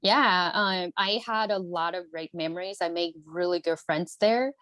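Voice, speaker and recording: A woman's voice: fairly low pitch, unhurried, fairly bright tone, no room sound. A woman, chatting earnestly as an interview guest, with lots of fillers and repeated words. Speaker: guest, MBA alumna. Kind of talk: casual interview chat.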